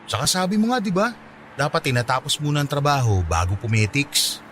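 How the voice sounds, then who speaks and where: An actor playing an eager, caring, shyly smitten young office worker in a Filipino radio drama, with a Filipino accent. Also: male voice, medium pitch, big pitch swings, brisk, fairly bright tone, slight background noise. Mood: reassuring. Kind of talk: radio drama acting in Taglish.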